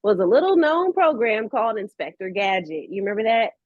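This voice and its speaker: A woman's voice: medium pitch, brisk, no echo. Playful woman telling stories, slightly dull audio. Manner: animated, playful storytelling with acted-out quotes. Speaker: interview guest, animation voice actress. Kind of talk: casual, chatty interview storytelling.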